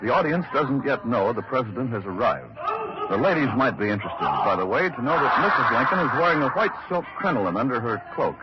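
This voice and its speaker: An actor playing a chatty, observant American radio reporter giving commentary, on a very noisy 1940s recording. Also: male voice, medium pitch, big pitch swings, fairly quick, lo-fi sound, a crowd in the background, close to an everyday voice. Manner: conversational, observant.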